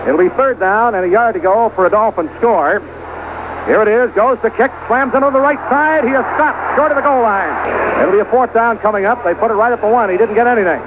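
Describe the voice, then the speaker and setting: An American man calling live football play-by-play on the radio in clipped short phrases, rising excitedly as the action develops. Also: high voice, phone-quality sound. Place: outdoor stadium broadcast position. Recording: noisy band-limited 1970s radio broadcast.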